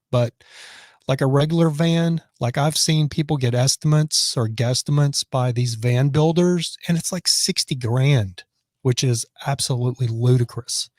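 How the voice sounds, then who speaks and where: A man hosting a live stream from his office, reading viewer comments and rambling in casual chat, his voice raspy from choking on his dinner. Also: fairly high pitch, darkish tone, no room sound, studio quality. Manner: rambling and chatty, many restarts.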